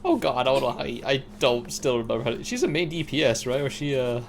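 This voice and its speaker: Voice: mid-range voice, animated, quick pace, a little hiss or hum. Offhand, slangy game streamer. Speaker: livestreamer playing a game. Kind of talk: casual game commentary. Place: game livestream with game audio behind.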